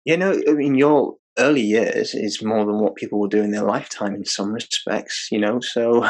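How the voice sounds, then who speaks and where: Low gravelly-voiced man hosting. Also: normal speed. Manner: easygoing, conversational interviewer. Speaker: podcast host. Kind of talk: casual interview chat.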